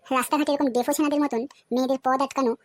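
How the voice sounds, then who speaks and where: A woman voicing a proud, sharp-tongued young woman in a comedy cartoon, scolding and defiant, with a West Bengal accent. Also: high-pitched, fairly even intonation, racing, noisy background, lightly acted. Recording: music behind the voice.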